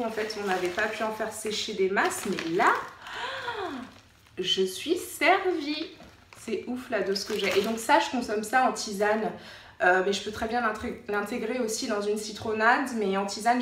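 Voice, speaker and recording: A woman from France with a French accent, chatting casually as a vlogger, informal and repeating words for emphasis. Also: mid-range voice. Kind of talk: casual chatty show-and-tell vlog. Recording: roomy echo, distant mic, faint noise.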